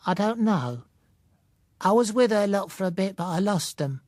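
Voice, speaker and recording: Audiobook character: elderly working-class London woman, played by a man, raised strained pitch, plaintive, colloquial, halting delivery. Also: expressive, a little mellow, no room sound, clean studio sound. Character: huddled old blind woman, wary, plaintive, uneducated.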